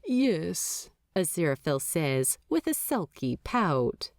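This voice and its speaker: A woman narrating a fanfiction audiobook in an expressive way, laughing often. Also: mid-range voice, slow pace, no echo, studio quality.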